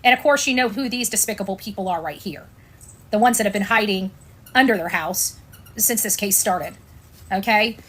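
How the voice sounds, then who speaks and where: An American woman with a medium-pitched voice hosts an informal commentary stream. She is blunt and opinionated, leaning on sarcasm and repeating her phrases to drive them home. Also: moderate pace, a bit bright. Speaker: online commentator and show host.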